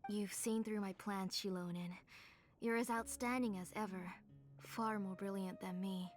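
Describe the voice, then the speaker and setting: A woman voicing a gentle, devoted but ailing mother in a video game, speaking earnestly and with measure over music. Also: medium pitch, steady tone, measured pace, lightly acted.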